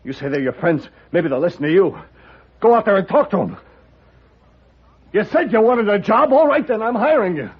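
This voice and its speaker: An actor playing a blustering, cowardly railroad boss in an old radio western, husky, curt and edgy. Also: male voice, high voice, expressive, moderate pace, long pauses. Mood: frightened. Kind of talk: 1950s radio western acting. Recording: dull old radio recording.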